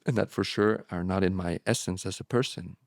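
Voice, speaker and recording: A man whose first language is Spanish, speaking candidly as an interview guest, reflective and halting with frequent restarts. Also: deep voice, fairly even intonation, vocal fry, dry recording, clean studio sound. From born in Morocco, raised abroad. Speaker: guest, relapse prevention therapist.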